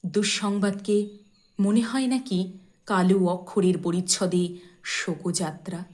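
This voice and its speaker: A woman giving a dramatic poetry recitation in a measured, expressive way, with deliberate pauses and phrase endings that fall softly. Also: mid-range voice.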